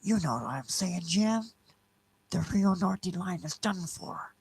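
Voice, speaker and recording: A man voicing an earnest, insistent railroad employee with a hushed, urgent delivery in an audio play. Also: high-pitched, expressive, takes it slowly, gravelly, breathy edge, no echo, voice pushed up, exaggerated voice. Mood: urgent, pleading.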